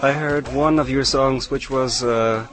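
A man's voice: medium pitch, fairly even intonation, unhurried, over loud music. A man interviewing a guest with short, direct questions.

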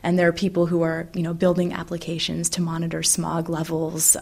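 A woman manager answering interview questions in a relaxed, conversational way with some fillers. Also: on the low side, a little fast, vocal fry, husky. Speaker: media manager.

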